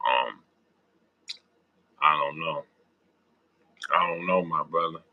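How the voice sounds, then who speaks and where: A young man with a sick, hoarse, raspy voice, rambling through casual sports talk with lots of ums and slang. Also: slow, phone-quality sound. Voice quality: deep raspy voice. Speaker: sports podcast host.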